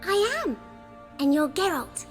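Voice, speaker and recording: A woman's voice: very high voice, very animated, unhurried, faint music behind, exaggerated voice. A woman voicing an earnest little village girl in a fantasy game, speaking simply and candidly. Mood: frank. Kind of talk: video game voice acting.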